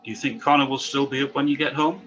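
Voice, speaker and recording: A man's voice: medium pitch, fairly even intonation, fast talker, fairly bright tone, lo-fi sound, a little hiss or hum, close to an everyday voice. A man playing a crude, sarcastic oil rig worker in a table read of a drama script, needling his mates. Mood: wry.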